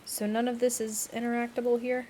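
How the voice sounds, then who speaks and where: A woman's voice: a little high, little pitch movement, brisk, mellow, a little hiss or hum. A woman streamer giving a casual game playthrough, commenting and reading game text aloud. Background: music.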